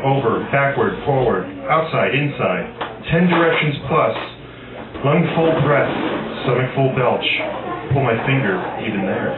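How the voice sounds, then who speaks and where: A man's voice: medium pitch, noisy background. Tinny, echoey man reading poetry aloud. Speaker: amateur poet reading own work at a poetry night. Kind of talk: live poetry reading with informal asides to the audience. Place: busy cafe open-mic room. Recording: tinny phone-like sound, distant, echoey, loud crowd. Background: loud audience chatter.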